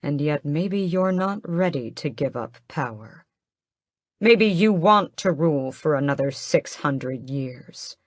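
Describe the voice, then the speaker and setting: A woman voicing a defiant young male vampire prince who yells and growls accusations. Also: sits low, normal speed, dry recording, clean studio sound. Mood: accusing. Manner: forceful, yelling, growling threats.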